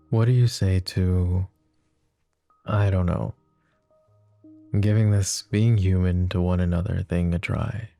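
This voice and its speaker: Casting: male actor as smitten mafia boss for romance ASMR; very low, creaky voice, flirty yet self-deprecating, hesitant murmur. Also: steady tone, slow, pauses often, warm tone, dry recording, soft background music, exaggerated voice. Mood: teasing, flirty. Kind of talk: intimate ASMR romance roleplay.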